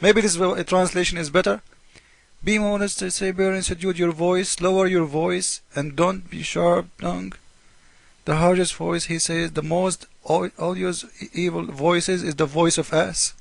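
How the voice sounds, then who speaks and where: A man's voice: high voice, flat delivery, a little slow, vocal fry, no echo. A man who speaks English as a second language gives informal polemical commentary on scripture, repeating himself in a mocking, theatrical way and acting out both sides of arguments. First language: not English. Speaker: host of a religious polemic video.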